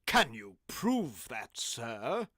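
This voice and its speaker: A man's voice: mid-range voice, very animated, fairly bright tone, heavily acted. A man playing a formal clergyman at a wedding in a period radio drama, with a ceremonious manner. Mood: flustered questioning. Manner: formal, measured. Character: solemn, formal clergyman conducting a wedding, easily flustered.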